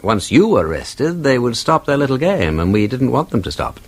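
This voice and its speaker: An urbane English gentleman detective in a radio drama, with an upper-class accent. His mid-pitched voice rises and falls dramatically. He is composed and courteous, choosing measured understatement. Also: big pitch swings. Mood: grave, frank.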